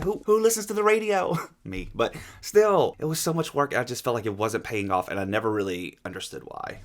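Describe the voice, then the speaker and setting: A young American man from Louisiana giving casual, animated reaction commentary, slangy and sweary. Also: mid-range voice. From Louisiana, United States. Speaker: reaction video host.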